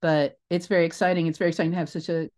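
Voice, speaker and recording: A woman's voice: fairly low pitch, flat delivery, very fast, darkish tone. A woman speaking as an interview guest in a casual, rambling and enthusiastic way about creative work.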